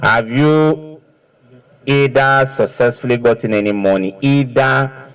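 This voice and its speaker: A man's voice: mid-range voice, takes it slowly, clear, resonant tone, soft background music, poor audio. A man with a Nigerian accent questioning suspects formally as an official, probing and repeating answers back.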